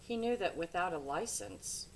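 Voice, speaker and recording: A woman's voice: on the low side, big pitch swings, moderate pace, a little hiss or hum, poor audio. A woman reading a children's storybook aloud with dramatic, emphatic phrasing. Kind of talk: expressive children's storybook narration.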